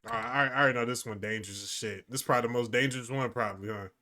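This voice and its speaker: A young man hosting a reaction video, giving excitable, slangy commentary and repeating his phrases. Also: mid-range voice, rapid-fire.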